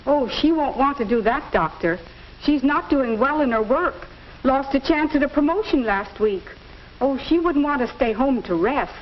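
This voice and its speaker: A woman's voice: high-pitched, animated, normal speed. An actress playing a worried middle-aged mother in an old educational film. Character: worried, soft-spoken mother of grown and teenage children. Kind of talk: mid-century educational film acting. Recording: dull, noisy old film soundtrack.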